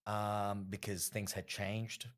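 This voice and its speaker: A man's voice: lowish voice, little pitch movement, slow pace, a bit bright. Rambling man telling stories. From Australia. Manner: rambling storyteller, frequent fillers. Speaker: comedian guest. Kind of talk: casual podcast interview.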